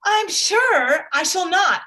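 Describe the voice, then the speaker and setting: A woman playing a fretful, excitable middle-aged wife and mother in a period drama reading, fluttery, exclamatory and complaining. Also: very high voice, slow, heavily acted. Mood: indignant.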